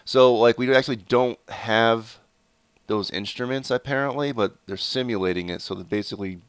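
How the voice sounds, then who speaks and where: A man's voice: mid-range voice, moderate pace, clear, resonant tone, no room sound. A man with a stuffed-up head cold and a nasal voice, giving rambling, casual let's-play commentary full of fillers and self-corrections. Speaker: gameplay commentator.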